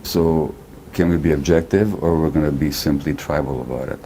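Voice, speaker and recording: Very deep, dark-voiced older man. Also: fairly even intonation, slight background noise. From Hungary. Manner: measured, critical, deliberate. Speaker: therapist, interviewee. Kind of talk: interview clip.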